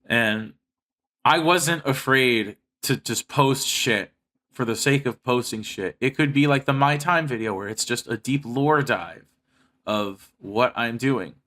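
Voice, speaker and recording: A man's voice: mid-range voice, a little slow, bright, forceful tone. A man of thirty speaking earnestly and with heavy swearing in a personal monologue to his online audience. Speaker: online video creator making comic dubs and skits.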